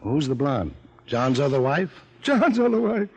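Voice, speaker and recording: A husky American police lieutenant in old-time radio style. He grumbles sarcastically, his pitch leaping all over in comic exasperation. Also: very animated, a little slow, exaggerated voice. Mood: exasperated, confused. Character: sardonic, grumbling police lieutenant, slow on the uptake. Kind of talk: 1940s-50s American comic radio acting.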